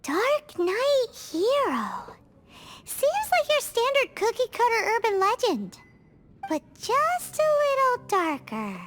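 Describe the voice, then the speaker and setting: A woman voicing a tiny, excitable fairy companion with a shrill, bubbly voice who blurts out questions and quips, in game cutscene voice acting. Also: takes it slowly, exaggerated voice. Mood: perky. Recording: game audio with music behind.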